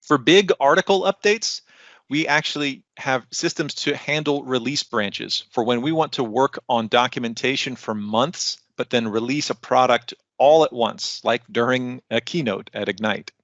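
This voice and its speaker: A man explains technical material in an online conference presentation. His mid-pitched voice has a noticeable fry to it. He phrases things like an instructor, with light asides. The sound is close and dry, a little muffled and lo-fi. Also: moderate pace, a bit bright, no echo. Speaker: technical content developer presenting.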